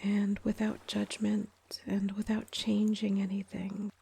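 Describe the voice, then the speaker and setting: Female meditation guide, whispery and soothing, mellow tone, very even delivery with gentle pauses. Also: mid-range voice. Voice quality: breathy, whispery. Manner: calm, soothing, gentle, unhurried with long pauses. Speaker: meditation guide, yoga teacher. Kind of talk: guided meditation, soft-spoken.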